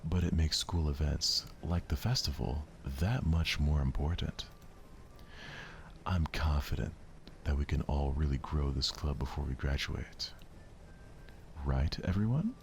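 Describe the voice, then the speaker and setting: A man's voice: a bass voice, a little slow, vocal fry, full character voice. Man as friendly schoolgirl leader, assured, encouraging, visual novel dialogue, faint background noise. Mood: friendly, confident. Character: confident, friendly teenage girl club president.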